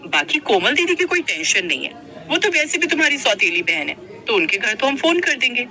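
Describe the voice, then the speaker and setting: A woman's voice: on the high side, big pitch swings, fairly quick, sharp tone, some chatter behind, heavily acted. A woman voicing a haughty young wife who plays favourites, in a cartoon family story. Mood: dismissive.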